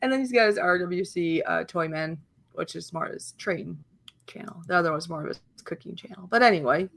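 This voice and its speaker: A woman chatting casually as a guest on a livestream, quick and talkative with laughing asides. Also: lowish voice, creaky, fairly bright tone.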